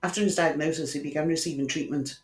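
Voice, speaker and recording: A man's voice: fairly high pitch, steady tone, quick pace. A man hosting a true crime channel, telling a case in scripted narration with wry conversational asides to the viewer. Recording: echoey room.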